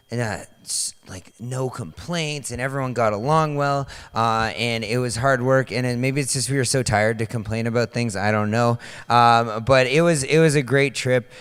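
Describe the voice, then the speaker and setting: Young male pastor, casual sermon, slightly hoarse, smooth voice on a handheld mic in a reverberant hall. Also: mid-range voice. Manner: loose, chatty, many fillers and restarts. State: voice partly lost, slightly hoarse. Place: large dark stage in a church hall.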